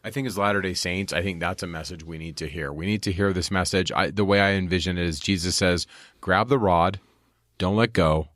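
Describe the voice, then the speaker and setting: A man's voice: deep voice, a little fast, a bit bright, no room sound. A man hosting a podcast who adds brief interjections and earnest remarks to the conversation. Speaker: podcast host. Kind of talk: conversational discussion.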